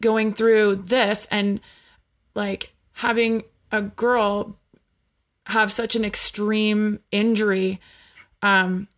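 A woman in a casual chat, with a voice of ordinary middle pitch. She talks supportively and rambles along, full of "like" and restarts. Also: level tone, drawn-out words. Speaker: podcast host and former coach. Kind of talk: casual conversation.

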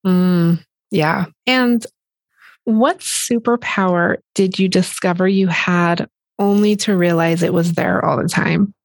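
A woman's voice: on the low side, steady tone, slow, no echo. Woman host, upbeat and affirming, dry close sound. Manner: enthusiastic, affirming. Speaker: podcast host. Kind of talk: podcast interview.

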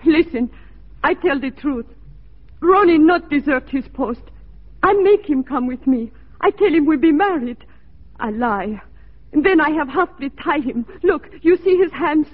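Casting: intense young frontier woman, high voice, broken English with foreign accent, thin old radio sound. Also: expressive, unhurried, phone-quality sound. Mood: pleading. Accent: put-on foreign, broken English. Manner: clipped broken grammar, intense. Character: passionate, possessive young frontier woman, desperately in love. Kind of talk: 1940s radio drama acting. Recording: thin band-limited 1940s radio recording.

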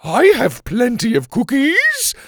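A man's voice: extremely high pitch, huge pitch swings, takes it slowly, fairly bright tone, no room sound, clean studio sound, voice pushed up, heavily acted. A man voicing a doting, fretful, melodramatic female camper in a storybook reading, gushing and dramatic. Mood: beaming. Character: doting, fretful, melodramatic woman camper, smitten with her teacher.

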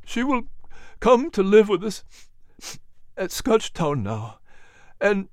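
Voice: medium pitch, big pitch swings, takes it slowly, no echo, clean studio sound, pitched above the performer's own voice. A narrator voicing a grieving grown son, speaking in an earnest, tearful way.

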